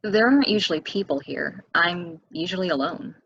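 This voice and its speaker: Casting: actress as solitary small-town young woman, low husky voice, calm and matter-of-fact, stage drama read. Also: normal speed, no echo, highs cut off, heavily acted. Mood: calm, guarded. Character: Cheryl, calm, solitary young local woman who knows the house's legend. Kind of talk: stage play table reading.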